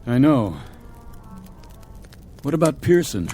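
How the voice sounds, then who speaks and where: A stern captain of a stranded spaceship in a radio drama. He speaks slowly in a mid-pitched voice, steady, authoritative and probing. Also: faint music behind. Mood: probing, impatient. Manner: authoritative, measured, questioning.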